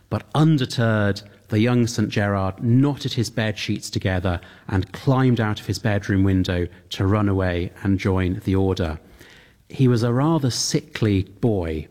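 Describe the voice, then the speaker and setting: Low-voiced Welsh-born priest explaining earnestly. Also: male voice. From Wales. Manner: earnest, gently humorous, explanatory. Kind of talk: interview answers.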